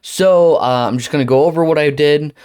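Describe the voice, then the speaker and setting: A man hosting a casual software tutorial, informally thinking aloud as he talks himself through each step. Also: on the high side, brisk, smooth. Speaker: tutorial host.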